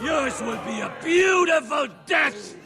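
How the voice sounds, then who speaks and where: An actor playing a boastful, bloodthirsty pit fighter in a video game, taunting and swaggering with a gravelly voice. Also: male voice, very high-pitched, animated, slow pace, background noise, full character voice. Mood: gloating, taunting. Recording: loud music and roaring crowd behind.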